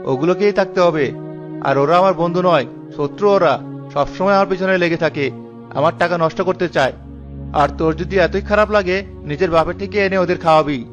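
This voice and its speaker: A man playing a stingy, grumbling newlywed husband in a cartoon, blunt and quick to scold. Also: a little high, lightly acted. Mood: angry scolding. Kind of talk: cartoon dialogue acting. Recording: dull lo-fi sound with music behind.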